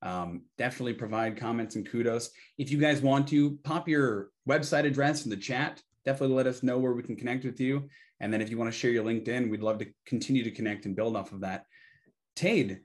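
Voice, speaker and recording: A man's voice: mid-range voice, fairly quick. Host guy on a video call thanking everyone, friendly, passing it to guests. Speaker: meetup host. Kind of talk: hosting an online meetup.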